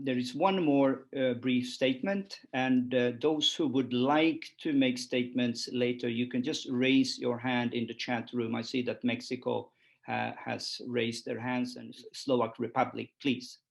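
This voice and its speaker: A man's voice: medium pitch, steady tone, unhurried, creaky. A man moderating an online meeting over a video call, introducing speakers with hesitant "uh" and "um" fillers. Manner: hesitant, fills with "uh" and "um".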